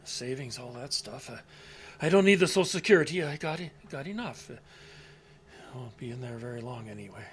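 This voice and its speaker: A man's voice: medium pitch, animated, fairly quick, slightly rough. An actor playing an elderly man in an audio drama, anxious and guilt-ridden, with a hesitant, stammering, pleading delivery. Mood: nervous pleading. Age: older.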